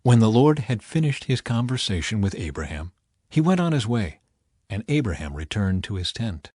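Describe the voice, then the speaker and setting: A man's voice: fairly low pitch, big pitch swings, moderate pace, darkish tone, breathy edge, no echo, studio quality. A man narrating a scripted audiobook expressively as a storyteller.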